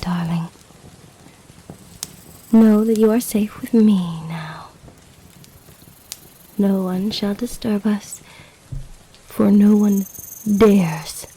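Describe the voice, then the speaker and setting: A woman playing an imperious, amorous serpent empress in a fantasy audio drama, speaking formally and seductively in a breathy voice that often drops to a whisper. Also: mid-range voice, warm tone, a little hiss or hum, lightly acted, rough recording. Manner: slow, commanding, formal, seductive. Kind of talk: romantic fantasy audio roleplay.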